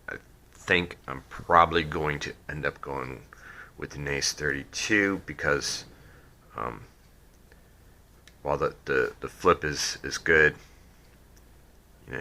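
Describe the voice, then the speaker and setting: Deep gravelly man with a cold. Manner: rambling, many ums and restarts, trails off quietly. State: has a cold, sniffly and croaky. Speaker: hobbyist drone builder. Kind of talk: casual how-to build video.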